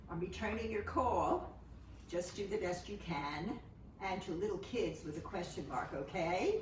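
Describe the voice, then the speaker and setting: A woman in her seventies reads poetry in a plain, conversational way, with halting asides. Her voice sits a little low. She is away from the microphone in an echoey room with noticeable background noise. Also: darkish tone, distant mic. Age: 70s. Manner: conversational, halting, matter-of-fact. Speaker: poet and author. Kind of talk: informal poetry reading with spoken asides. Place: room, remote home-style recording.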